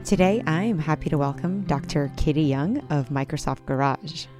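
Low-voiced woman host over music. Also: moderate pace, warm tone. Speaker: podcast host. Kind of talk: scripted intro then interview questions.

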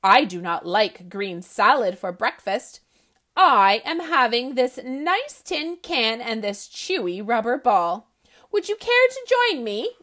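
A woman doing a prim, loud storybook goat. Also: a little high, very animated, takes it slowly, exaggerated voice. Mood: proper. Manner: louder, proper and matter-of-fact. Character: odd, polite male goat who eats tin cans.

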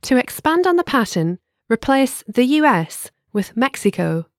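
Lively-voiced woman narrating a language lesson. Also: fairly high pitch, no echo, studio quality. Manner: clear, measured, instructive. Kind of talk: scripted instructional narration.

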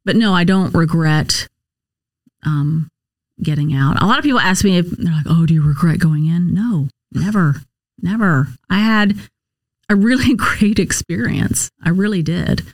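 A woman's voice: lowish voice, measured pace, no room sound. An American woman answering interview questions conversationally, in a candid, explanatory way with emphatic repetition. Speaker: interview guest, nurse case manager and former Marine officer.

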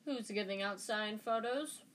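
A woman's voice: medium pitch, unhurried, fairly bright tone, a little hiss or hum, lightly acted. A woman voicing a jovial, self-important adult male wizard in a storybook, hearty and pompous. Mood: booming.

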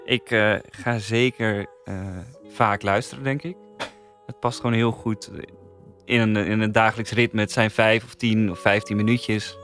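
A man's voice: lowish voice, little pitch movement, a little slow, fairly bright tone. A man with a Netherlands Dutch accent giving his opinions in casual podcast conversation. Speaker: podcast panelist. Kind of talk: casual chat. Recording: music bed behind the voice.

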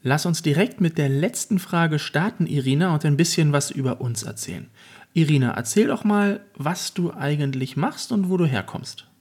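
A man's voice: a little high, animated, moderate pace, darkish tone. A man co-hosting a podcast, telling his story in an earnest, reflective way. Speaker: podcast co-host. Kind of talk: casual podcast chat.

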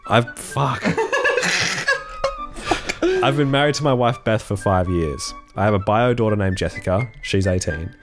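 A man's voice: fairly low pitch, measured pace, pauses often, soft background music. A young man co-hosting a casual podcast, congested and sniffling, laid-back and swearing, stumbling as he reads a forum post aloud. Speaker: podcast co-host.

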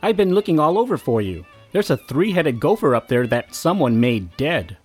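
A man plays a puzzled, exasperated friend in a comic audio drama. His voice is a little high and husky. Music plays faintly beneath him. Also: sing-song, normal speed, darkish tone.

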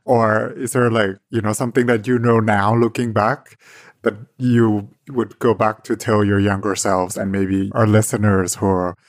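A man co-hosting a podcast, asking questions conversationally in halting, hedged phrases. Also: fairly low pitch, level tone, no room sound, clean studio sound. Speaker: podcast co-host. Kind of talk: conversational interviewing.

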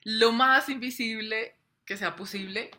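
A woman guest on a podcast, speaking by video call, earnest and often checking in with a quick question. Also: a little high, pushed and bright. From Colombia. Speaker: guest, personal branding coach. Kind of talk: interview storytelling. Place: home in Colombia.

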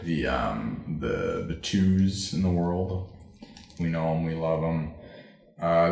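A young man hosting a podcast, chatting casually about movies in a monotone, rambling way. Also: deep voice, reverberant. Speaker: podcast host.

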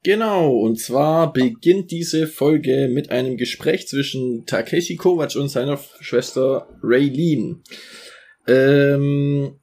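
A man's voice: mid-range voice, takes it slowly, a little mellow. A man co-hosting a podcast, recapping a series episode in a rambling way with many fillers. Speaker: podcast co-host summarizing an episode.

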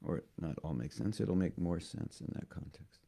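A hoarse man giving a devotional class, talking haltingly and often repeating words as he thinks. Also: low-pitched, animated, mellow. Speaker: spiritual teacher.

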